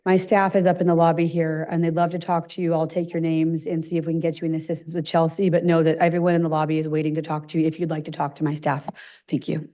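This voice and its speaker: A woman's voice: low voice, flat delivery, fast. A woman council member making brief, plain remarks at a meeting, with some ums. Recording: muffled lo-fi meeting audio.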